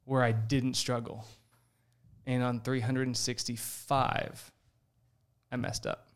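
A man's voice: mid-range voice, level tone, slow, clean tone. A man in his twenties, a podcast guest, earnestly telling a personal story in casual talk. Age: 20s.

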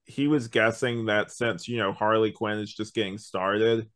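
A man co-hosting a podcast in casual chat, explaining things in a rambling, halting way with many false starts. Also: on the low side, steady tone, fairly bright tone. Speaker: podcast co-host reviewing an audio drama.

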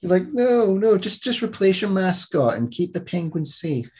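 Chatty, excitable male podcast host. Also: sits high, moderate pace, slightly rough. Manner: enthusiastic, swearing, joking. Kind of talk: casual chat.

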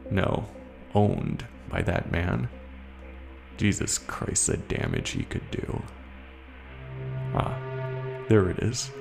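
A man playing a bitter, haunted military veteran in a gravelly, confiding horror story monologue, profane and speaking straight to the listener. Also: deep voice, exaggerated voice. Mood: defensive, angry. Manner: conversational, confiding, addresses the listener directly. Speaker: narrator of a horror short story. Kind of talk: scripted first-person horror monologue. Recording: voice over a music soundtrack.

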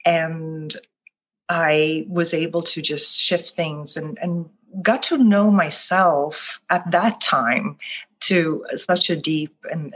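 Low-voiced Swedish-born woman, reflective. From Sweden. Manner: soft-spoken, thoughtful, with frequent restarts. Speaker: life coach. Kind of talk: reflective interview answer.

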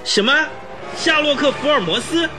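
An actor playing an arrogant, irascible and sly medical specialist in a radio drama, haughty and raspy. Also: male voice, very high voice, lively intonation, brisk, music in the background. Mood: furious, contemptuous. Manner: haughty barking, gloating chuckles. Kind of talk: Mandarin radio drama acting.

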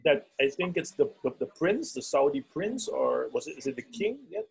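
A man's voice: mid-range voice, big pitch swings, normal speed, vocal fry, darkish tone, low-quality recording. A man co-hosting a casual podcast, joking and laughing at his own jokes. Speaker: podcast co-host.

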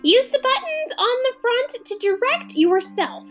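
Woman doing a shrill stern alien captain. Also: big pitch swings, measured pace, faint music behind, full character voice. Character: brisk commanding male alien captain.

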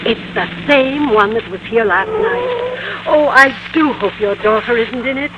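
Solicitous neighborly policewoman, lively pitch. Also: measured pace, lo-fi sound. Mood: helpful, confiding. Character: solicitous, gossipy female juvenile hall policewoman. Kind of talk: 1950s radio sitcom acting. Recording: noisy old-time radio recording.

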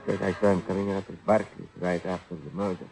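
An actor playing a wounded, bedridden male crook, gravelly, weak and grudging, in an old radio crime drama. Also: deep voice, little pitch movement, fast, darkish tone, soft background music. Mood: sullen, weak. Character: sullen wounded male crook in a hospital bed.

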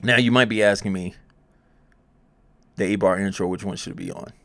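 A man with a fairly low voice talks like an informal coach giving practical advice. His delivery is conversational and loose, and he often repeats a phrase to drive the point home. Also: fairly even intonation, a little fast, creaky, a bit bright. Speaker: music promotion advice presenter. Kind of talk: casual conversational advice talk.

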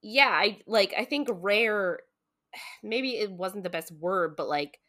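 A woman's voice: medium pitch, expressive, takes it slowly, pushed and bright. A woman co-hosting a podcast in a casual book discussion, speaking in an earnest, informal way and hedging her opinions as she goes.

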